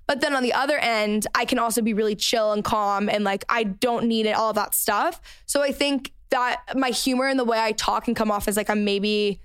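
A woman's voice: a little high, brisk, few pauses, clean tone, no echo, studio quality. A young woman from Texas hosting a podcast, talking casually in an upbeat Valley Girl manner full of "like". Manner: upbeat Valley Girl speech with many "like" fillers. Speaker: podcast host. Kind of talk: casual chat.

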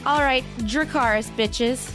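A woman's voice: high voice, takes it slowly, fairly bright tone, faint music behind. A woman in her thirties hosting a podcast in casual chat, gushing, swearing freely and laughing often. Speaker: podcast host.

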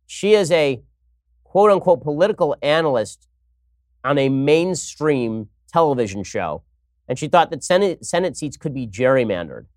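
A man hosting an opinionated political commentary show, talking in a wry, sarcastic way and moving briskly from segment to segment. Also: on the high side, dry recording, studio quality. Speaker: host.

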